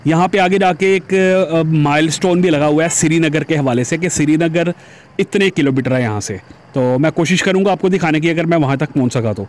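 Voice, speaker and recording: A man's voice: a little high, fairly quick. Man vlogging from a motorbike. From Pakistan. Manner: chatty, addresses viewers as "janab". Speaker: motovlogger. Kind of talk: casual commentary while riding. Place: outdoors on a busy city road, riding a motorbike. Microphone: helmet-mounted camera.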